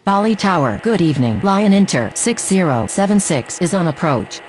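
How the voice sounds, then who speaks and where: Woman voicing female air traffic radio operator, lowish pitch, animated intonation, terse, formal, video game, background noise. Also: normal speed. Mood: formal, businesslike. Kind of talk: clipped scripted aviation radio phraseology. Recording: noisy game audio, vehicle rumble.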